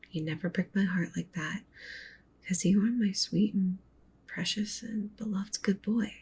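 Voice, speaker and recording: A woman playing a doting, possessive wolf witch girlfriend in an ASMR roleplay, speaking softly and breathily, often in a whisper. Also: mid-range voice, a little hiss or hum, close to an everyday voice. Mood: sweetly threatening. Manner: cooing, doting, with possessive menace. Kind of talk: ASMR roleplay, intimate soft speech and whispering.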